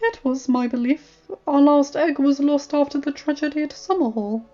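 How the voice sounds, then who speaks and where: A woman voicing a kindly, wise, very elderly male maester in a fantasy story, speaking in a courtly, measured way. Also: high-pitched, mellow, close to an everyday voice. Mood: grave, curious. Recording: muffled audio with music.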